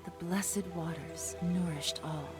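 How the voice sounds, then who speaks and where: A woman playing a grave, wise mystical storyteller in a video game, speaking in a measured, dramatic way. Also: lowish voice, steady tone. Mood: wistful. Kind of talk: scripted video game acting and narration. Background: loud music.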